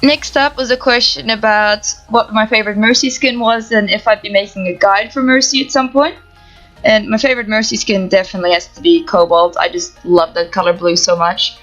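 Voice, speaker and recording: A young woman from the Netherlands with a Dutch accent, calmly reading out answers to viewer questions for her gaming channel. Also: mid-range voice, fairly quick, dull audio, soft background music. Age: young adult. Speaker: gaming YouTuber. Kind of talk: reading Q&A answers to camera, no face cam. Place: home setup, voice-over without camera.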